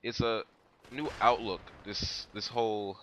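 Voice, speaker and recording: Stuffy deep-voiced young man, rambling. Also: steady tone, unhurried, pushed and bright, a little hiss or hum. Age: young adult. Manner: rambling, laid-back, many fillers. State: stuffy from a cold. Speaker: gameplay commentator. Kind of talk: casual gameplay commentary.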